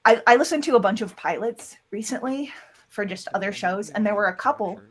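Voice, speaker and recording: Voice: mid-range voice, fairly quick, fairly bright tone. Casual, rambling audio drama producer, feminine-sounding voice. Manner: rambling, with self-quoting asides. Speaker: interview guest, audio drama producer and voice actor. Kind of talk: casual explanatory interview talk.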